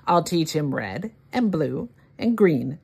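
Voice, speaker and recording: A woman hosting story time, reading a children's picture book aloud in an expressive, deliberate way for young children. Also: deep voice, creaky, slight background noise.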